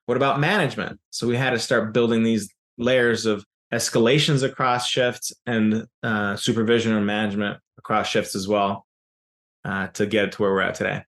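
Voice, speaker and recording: A man's voice: lowish voice, flat delivery, fairly bright tone. Man in his forties, explaining steadily. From raised in Yugoslavia. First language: Serbian. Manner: explanatory, often pauses on "uh" and restarts phrases. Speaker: business founder as guest. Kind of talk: interview answers.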